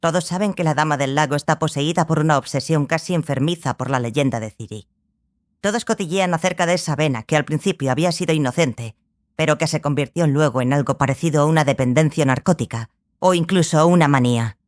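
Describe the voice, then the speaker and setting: A stern, scholarly sorceress played by a woman tells her story in a fantasy audiobook. She is grave and obsessive, with a measured delivery and deliberate pauses. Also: low voice, natural voice. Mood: stern, intense. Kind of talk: dramatized audiobook dialogue.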